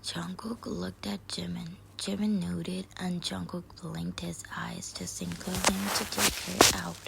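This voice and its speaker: Slow whispering woman with gravelly texture. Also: lowish voice, level tone, a bit bright, slight background noise, poor audio. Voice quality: unvoiced whisper. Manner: slow, halting read-aloud whisper. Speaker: fan fiction reader. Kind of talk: whispered story reading.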